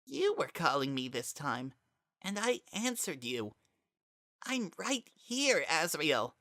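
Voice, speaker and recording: Man voicing a soft, high, wistful young spirit. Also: big pitch swings, slow pace, no room sound, clean studio sound, heavily acted. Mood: quiet, yearning. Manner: hesitant, melancholic. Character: lost, sentimental young spirit, wistful and confused.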